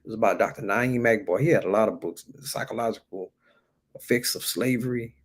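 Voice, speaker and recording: A man's voice: lowish voice, brisk, slightly rough. An American man preaching in an informal, colloquial way, stressing and repeating key phrases as he exhorts his listeners. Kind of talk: informal sermon, exhorting talk.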